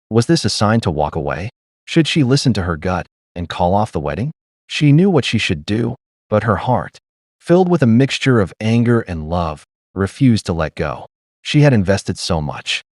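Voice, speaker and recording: A man reads a dramatic tale in a deep voice. His delivery is solemn and measured, yet the melody moves up and down a great deal. The sound is close and dry, with no room around it. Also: a little mellow, no room sound. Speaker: narrator. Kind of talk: scripted dramatic storytelling narration.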